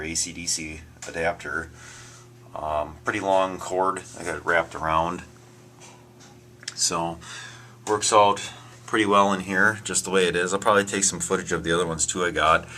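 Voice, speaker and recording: Deep voiced american guy doing a casual home video, kinda unsure, bright edge to his voice, some noise. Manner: hesitant, unscripted, thinking aloud. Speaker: amateur video maker showing his gadgets. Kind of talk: casual home show-and-tell. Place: indoors at home and in a workshop. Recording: noisy amateur home video with handling clicks.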